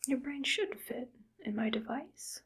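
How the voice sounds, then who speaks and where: A woman voicing a cold, calm evil scientist who harvests brains, whispering softly in a clinical, matter-of-fact way for an ASMR roleplay. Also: sits high, measured pace. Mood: coolly clinical. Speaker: ASMR creator.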